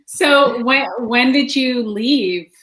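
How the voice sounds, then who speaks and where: A Canadian woman hosting a casual interview, chatty and letting her sentences trail off softly. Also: high voice, slow pace, echoey room. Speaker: podcast host.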